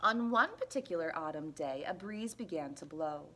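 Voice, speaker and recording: A woman reading a children's picture book aloud in an expressive, deliberate, playful storytelling manner. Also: low-pitched, slight background noise. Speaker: storybook reader at a library.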